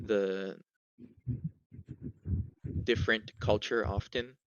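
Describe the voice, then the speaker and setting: A man's voice: lowish voice, steady tone, slow, dry recording, lo-fi sound. A young man from Hawaii answering interview questions casually, with many fillers and restarts. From Hawaii, USA.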